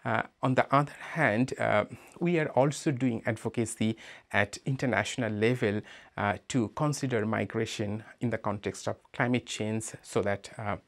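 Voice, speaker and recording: A man from Bangladesh with a Bangladeshi accent, speaking as a migrant rights advocate in an interview. He talks in a measured, hesitant way with many "uh" fillers. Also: lowish voice, creaky. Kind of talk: interview statement.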